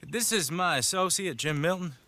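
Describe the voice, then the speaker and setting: An actor playing a genial, folksy hired gun with friendly, reassuring frontier talk in a game cutscene. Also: male voice, high voice, animated, moderate pace, sharp tone.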